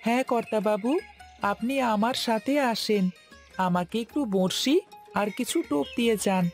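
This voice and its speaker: Woman acting an eager, high-voiced cartoon boy. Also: normal speed, faint music behind, close to an everyday voice. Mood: confident. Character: eager, confident young village fisher boy.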